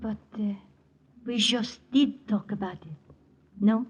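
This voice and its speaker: An actress playing a reserved young French chateau owner with a French accent in a 1940s radio drama. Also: female voice, medium pitch, takes it slowly, husky. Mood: guarded, reserved.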